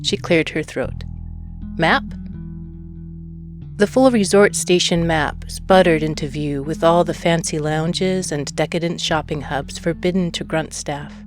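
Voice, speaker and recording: A woman's voice: low-pitched, moderate pace, few pauses, music in the background. A woman narrating a short story from a script. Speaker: audiobook narrator.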